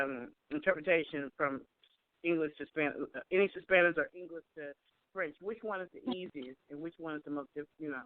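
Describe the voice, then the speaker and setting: A woman's voice: low voice, no room sound. A woman hosting an interview podcast, enthusiastic and often restarting her questions as she goes. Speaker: podcast host. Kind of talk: casual interview. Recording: tinny phone line, dry.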